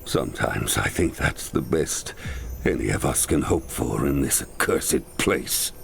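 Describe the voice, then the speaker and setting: A man's voice: extremely low pitch, lively intonation, measured pace, creaky, slight background noise, exaggerated voice. A man voicing a weary, worried male leader of a forest folk in a video game, earnest and grave. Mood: grim. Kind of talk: video game cutscene voice acting.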